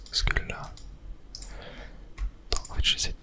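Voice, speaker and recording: A gaming streamer speaks entirely in a soft, breathy whisper. The delivery is ASMR gameplay commentary, murmured as thinking aloud, with no voiced tone. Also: measured pace.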